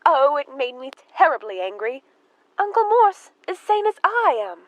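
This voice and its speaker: A woman's voice: high-pitched, sing-song, moderate pace, dry recording, dull audio. A woman acting an anxious, easily distressed spinster sister in a radio drama, fluttery, pleading and defensive. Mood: indignant.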